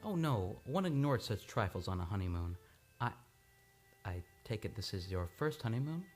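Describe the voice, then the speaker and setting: A troubled amnesiac husband, acted by a woman with an extremely low voice. He is wry and sardonic, delivered in old-time radio drama style. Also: very animated, normal speed, a little mellow, exaggerated voice. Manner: wry, sarcastic. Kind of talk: live old-time radio play acting.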